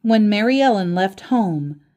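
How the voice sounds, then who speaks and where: Woman, storyteller, slow pace, medium pitch, short phrases with pauses, children's read-aloud, casual asides. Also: animated, mellow. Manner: deliberate, pausing between short phrases.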